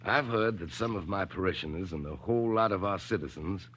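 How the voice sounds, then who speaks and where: Blunt earnest priest, lowish forceful voice, insistent, admonishing, vintage radio drama. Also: male voice, fairly quick, husky. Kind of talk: 1940s radio drama acting.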